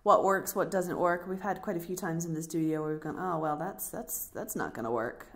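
A woman's voice: lowish voice, a little fast. A woman presenting a podcast casually as host.

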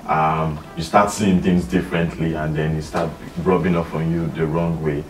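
A young man with a very low voice hosts a relaxed sofa chat, musing aloud and asking questions. His voice comes from a distance and rings a little in the room. A faint hiss is heard behind. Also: moderate pace, roomy sound. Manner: thoughtful, asks questions, lots of "mm-hmm" backchannel. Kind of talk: casual discussion. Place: living room with bookshelf, indoors.

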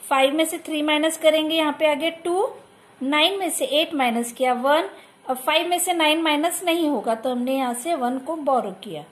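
A woman's voice: sits high, moderate pace. A woman teacher with an Indian accent who explains a maths exercise step by step, mixing Hindi and English. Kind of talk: maths lesson over a workbook.

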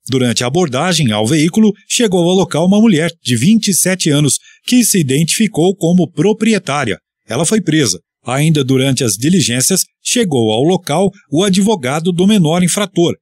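A man from Brazil reads the police news bulletin on local radio. His voice is husky and a little high for a man, and his delivery stays steady and formal throughout.

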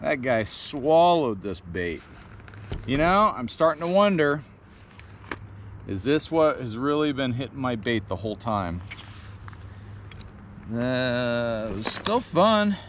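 A man's voice: medium pitch, a little hiss or hum. A man in his thirties explaining a bait rig and narrating his fishing from a pontoon boat outdoors, recorded on a chest-mounted action camera. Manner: slow, easygoing instruction turning excited when a fish bites. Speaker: fishing vlogger. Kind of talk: casual how-to narration while fishing.

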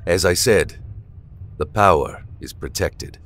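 Very deep, commanding space pilot played by a man. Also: animated, slow, fairly bright tone, faint music behind, exaggerated voice. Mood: grave, commanding. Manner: terse, authoritative. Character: commanding male space pilot and guide, grave. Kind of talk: audio drama acting.

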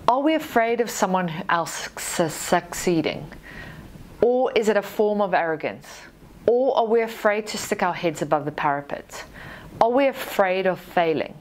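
A woman in her thirties with a Zimbabwean accent gives a prepared conference presentation in a formal, measured manner. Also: medium pitch. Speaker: army lessons manager giving a presentation. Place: small indoor studio with dark backdrop. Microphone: lapel mic.

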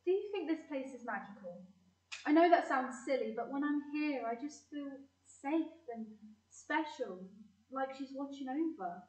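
A woman's voice: high voice, animated, normal speed, a little mellow. A woman playing a guarded, easily exasperated fourteen-year-old girl in a community audio play. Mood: wistful. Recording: echoey, muffled, distant microphone.

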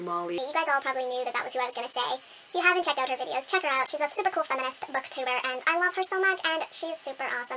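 A young woman chatting casually to camera as a book vlogger, animated, gushing and poking fun at herself. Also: sits high, slight background noise. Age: young adult. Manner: animated, gushing, self-mocking. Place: small room at home with bookshelves.

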